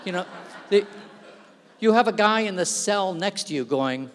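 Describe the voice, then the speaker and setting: A man's voice: high-pitched, moderate pace. Man telling funny stories in a big hall. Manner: self-deprecating storyteller with lively asides. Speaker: speaker at an awards ceremony. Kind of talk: humorous anecdotal speech. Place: large event hall. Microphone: stage microphone. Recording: long reverb of a large hall.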